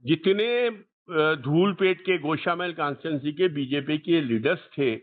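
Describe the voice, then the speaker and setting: An older man in his sixties with a Hyderabadi accent talks through a thin, tinny phone connection. His voice sits fairly high, and he converses informally as an interviewer, readily echoing agreement. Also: unhurried. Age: 60s. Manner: eager agreement, repeats short affirmations. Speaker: senior journalist conducting a call. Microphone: phone line. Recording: tinny recorded phone call.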